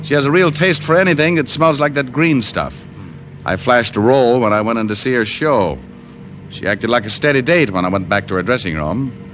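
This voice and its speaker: A man's voice: medium pitch, lively intonation, moderate pace, a bit bright, slight background noise, lightly acted. An American man playing a wry, streetwise private detective in old-time radio style, narrating in clipped slang. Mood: earnest, knowing. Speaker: lead actor and narrator of a detective series. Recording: vintage radio recording with music.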